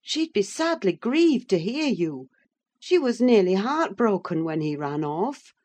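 A woman reading a story in the part of an earnest female servant, respectful but gently chiding. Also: mid-range voice, sing-song, a little slow, voice pushed up. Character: deferential but outspoken female household servant, earnest and protective. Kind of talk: dramatised audiobook reading.

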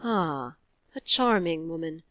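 A woman reading a storybook as a shy, complacent elderly gentleman, formal, mild and hesitant. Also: low-pitched, expressive, slow pace, dry recording, full character voice.

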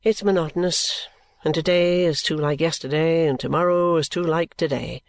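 Woman voicing a low-pitched, carefree young gentleman. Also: normal speed, no echo. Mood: musing, half joking. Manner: breezy, offhand, musing. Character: careless, easygoing, affectionate young gentleman. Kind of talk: audiobook character dialogue.

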